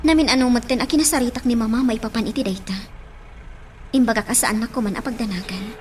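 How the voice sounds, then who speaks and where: A woman's voice: fairly high pitch, fast, a little hiss or hum, lightly acted. A woman with an Ilocano accent playing a troubled, dutiful young daughter in a radio drama.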